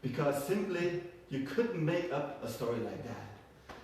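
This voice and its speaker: A man telling folk tales live to a group, halting and often repeating words and phrases. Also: a little high, expressive, darkish tone. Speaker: storyteller. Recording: echoey room, far from mic, faint noise.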